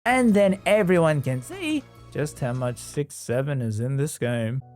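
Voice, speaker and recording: A man narrates a video game review with lively, swooping intonation. His delivery is casual and jokey, dropping sarcastic asides as he goes, with light music playing underneath. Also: fairly high pitch, measured pace. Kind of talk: casual humorous scripted review voice-over. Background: background music.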